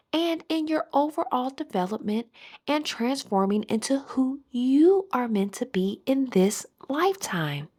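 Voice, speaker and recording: A woman leading a scripted guided meditation in a soothing, measured manner. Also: high-pitched.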